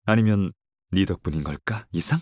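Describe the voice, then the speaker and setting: A man's voice: low-pitched, normal speed, darkish tone, dry recording, studio quality. An actor playing a smug, evasive young man in a Korean game, talking in a casual, taunting drawl. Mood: musing, teasing. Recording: music behind the voice.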